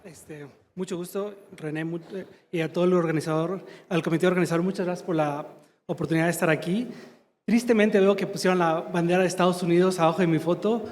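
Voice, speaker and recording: A man's voice: high-pitched, fairly even intonation, fast talker, darkish tone. A man from Mexico giving a medical conference talk, fluent but searching for words and filling gaps with "este". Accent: slight Mexican Spanish. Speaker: conference speaker, surgeon. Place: large conference hall. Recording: long hall reverb.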